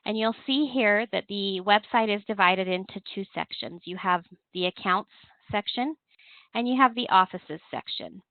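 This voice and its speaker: A woman's voice: medium pitch, normal speed, dry recording. Woman presenting over a thin phone line. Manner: explanatory, step by step. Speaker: presenter, compliance software trainer. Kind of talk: instructional webinar walkthrough, semi-scripted. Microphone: telephone conference call.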